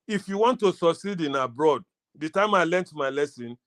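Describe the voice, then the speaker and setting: A man's voice: fairly high pitch, expressive, normal speed, a bit bright. A Nigerian-accented man gives his opinion informally as a panellist, slipping into Pidgin and acting out bits of dialogue.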